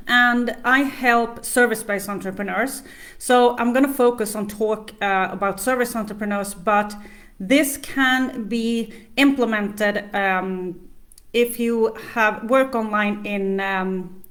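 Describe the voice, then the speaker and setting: A woman's voice: a little high, fairly bright tone. Swedish-accented woman hosting a live stream. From Sweden. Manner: chatty, many fillers, greets viewers by name. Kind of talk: casual live-stream talk to viewers.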